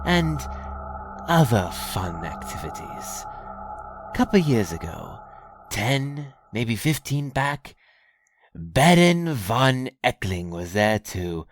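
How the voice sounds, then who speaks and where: Smug alien pirate lord, mock polite, slow and super swoopy, music under it. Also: male voice, mid-range voice. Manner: mock-polite, sardonic, drawn-out menace. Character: sly, mocking, theatrical alien raider lord, male. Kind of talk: scripted audio drama acting.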